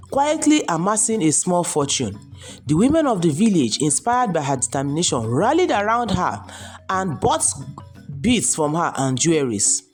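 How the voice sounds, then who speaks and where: Low-voiced, expressive woman storyteller. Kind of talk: scripted folktale narration. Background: music behind the voice.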